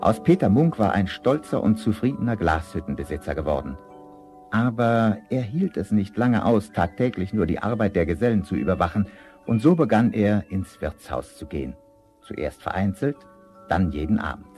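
A man narrating a vintage fairy-tale radio play as an expressive, measured storyteller. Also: lowish voice, soft background music. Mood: storytelling.

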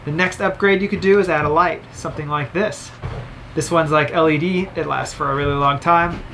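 A man's voice: fairly high pitch, fairly even intonation, brisk, creaky, pushed and bright, slight background noise. Upbeat man chatting through a gear review. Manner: chatty, upbeat, explains while handling gear. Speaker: vlogger reviewing camera gear. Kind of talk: casual gear review to camera. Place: cabin of a sailboat, indoors.

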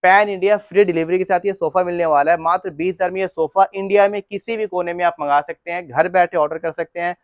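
A young man showing off his furniture showroom, briskly listing products, prices and offers on a lapel mic. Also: sits high, steady tone, dry recording. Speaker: furniture store owner. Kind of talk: sales pitch walkthrough.

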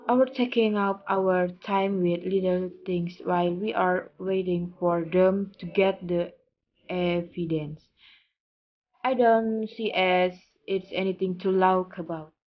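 A young woman acting a slow, halting farm wife. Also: fairly low pitch, fairly even intonation. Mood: puzzled. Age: young adult. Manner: halting, hesitant delivery. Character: wistful, sympathetic farm wife, loyal neighbour. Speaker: student actor in a class play. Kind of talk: amateur stage drama dialogue. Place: small curtained room at home. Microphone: phone or laptop at arm's length. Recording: muffled narrow-band home recording, music behind.

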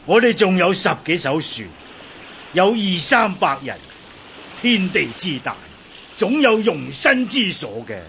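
A man's voice: high voice, big pitch swings, measured pace, creaky, sharp tone, a little hiss or hum, exaggerated voice. A man acting a bold, hearty smuggler chief in a radio drama, with a Hong Kong Cantonese accent.

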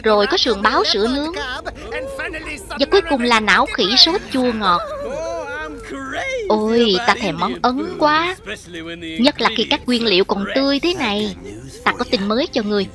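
A woman's voice: fairly high pitch, animated, moderate pace, long pauses, a crowd in the background, natural voice. A woman voicing a taunting, menacing male hyena gang boss in a cartoon voice-over dub. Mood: gloating, then commanding. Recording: clear film music and original soundtrack underneath.

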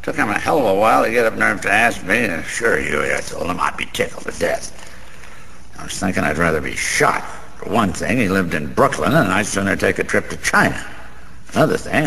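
A bragging, lonely small-time gambler with an American accent in a radio play. His voice is bright and gravelly and swings up and down as he rambles through slangy wisecracks. It rings in a long reverb. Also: fairly low pitch, exaggerated voice. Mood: boastful, animated. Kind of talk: theatrical radio-play monologue. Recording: long room reverb, faint noise.

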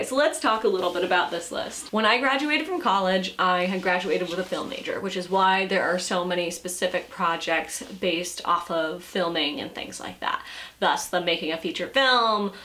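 A woman's voice: medium pitch, a bit bright. Woman around thirty, casual unscripted vlog delivery, wry and self-deprecating, frequent laughs. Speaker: vlogger and creative entrepreneur. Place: sunlit room in front of glass doors, indoors.

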